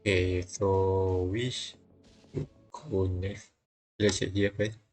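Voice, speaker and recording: A man's voice: sits low, flat delivery, drawn-out words, darkish tone, faint music behind. A man streaming a visual novel, reading the game text aloud and often stumbling and rereading phrases.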